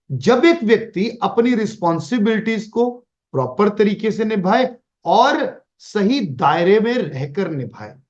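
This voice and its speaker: A man's voice: high-pitched, dull audio. A man lecturing in an online class, mixing English phrases into Hindi and asking rhetorical questions. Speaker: Vastu teacher.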